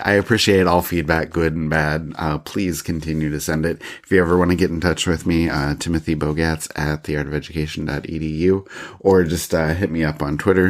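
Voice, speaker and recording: Congested man with a very deep voice. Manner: chatty and easygoing, with frequent filler words and light laughs. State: congested with a cough. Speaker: podcast host. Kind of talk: casual solo podcast talk.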